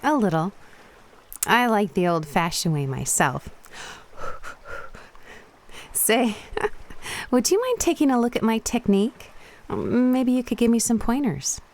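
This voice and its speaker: A woman's voice: on the high side, expressive, slow pace, pauses often. A woman playing a confident, teasing young Japanese woman diver in a radio play. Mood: friendly, curious.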